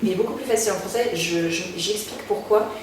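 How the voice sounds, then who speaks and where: A French woman, a singer, answering interview questions in a casual, halting way, full of fillers and restarts. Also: mid-range voice. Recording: very echoey room, distant mic, noticeable background noise.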